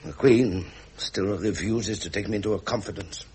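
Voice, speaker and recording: An actor playing a frustrated, proud Prince of Wales near fifty, formal and imperious, with an upper-class English accent in a period drama. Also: male voice, lowish voice, moderate pace, a little mellow, husky. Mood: weary, frustrated. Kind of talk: period British broadcast drama acting.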